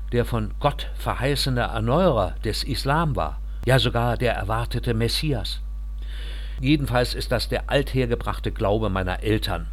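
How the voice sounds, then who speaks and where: A man reading the part of a reserved thirty-one-year-old refugee engineer, telling his story quietly and earnestly. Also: medium pitch, natural voice.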